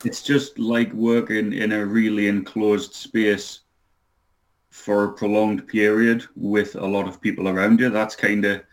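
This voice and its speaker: A man in his thirties talks as a podcast guest, hesitating with frequent ums and uhs. His voice sits low, and his pitch stays fairly flat as he speaks. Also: unhurried. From Newcastle, England. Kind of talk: casual interview.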